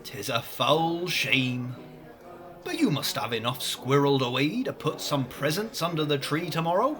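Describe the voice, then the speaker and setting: A man's voice: on the high side, lively intonation, normal speed, music in the background, lightly acted. A man acting a chatty, boastful pub landlord of old times in a comic radio play, with folksy rustic speech.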